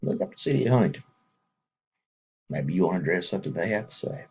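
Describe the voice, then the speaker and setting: A man with a thick Texas drawl talks to his viewers in a loose, folksy ramble, often breaking into a chuckle. His voice sits very low and gravelly, with a strongly husky, rough edge. The recording is muffled and lo-fi. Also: lively intonation, fairly quick, darkish tone. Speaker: man speaking to supporters. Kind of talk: casual appeal to viewers.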